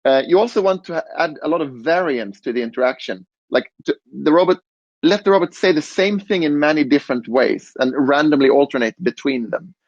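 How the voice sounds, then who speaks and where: A man on a conference panel explaining design, relaxed, with fillers and restarts. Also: on the high side, fairly quick, dry recording, muffled recording. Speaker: robot interaction designer.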